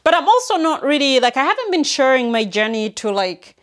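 Woman from uganda vlogging from her closet, bit high voice, chatty, lots of likes and restarts. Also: fairly quick. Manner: chatty, animated hand gestures, many likes and restarts. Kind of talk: casual talk to camera. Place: large walk-in closet at home, indoors. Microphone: seated, medium framing to camera.

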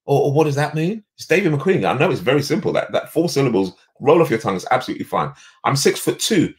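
A middle-aged man with a deep, resonant voice, talking emphatically as a guest on a video call from a home office. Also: fast. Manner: animated, emphatic storyteller. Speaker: guest, leadership coach.

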